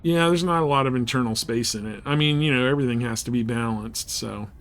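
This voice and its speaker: A man livestreaming a game, chatting casually in rambling, halting thoughts as he plays. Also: medium pitch. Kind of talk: casual chat while playing. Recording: noticeable background noise and game sounds. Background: game ambience and ship computer announcements.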